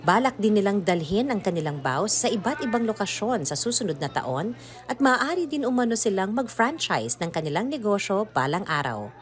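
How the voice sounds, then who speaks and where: A woman's voice: medium pitch, big pitch swings, a little fast. A woman with a Filipino accent reading scripted news narration as a reporter. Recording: music playing behind the voice. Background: background music under voice-over.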